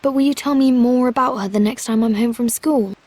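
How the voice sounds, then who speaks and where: A woman's voice: on the high side, fairly quick, a little mellow, natural voice. A woman voicing an earnest, curious little girl in game dialogue. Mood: eager.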